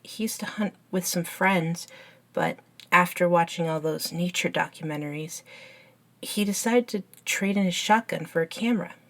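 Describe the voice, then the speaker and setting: A woman voicing an eager, curious, nerdy young best friend in a scripted ASMR roleplay, chatting and explaining in an unhurried, softly enthusiastic way. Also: fairly low pitch, lightly acted. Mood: chatty. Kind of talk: ASMR roleplay, scripted one-sided conversation.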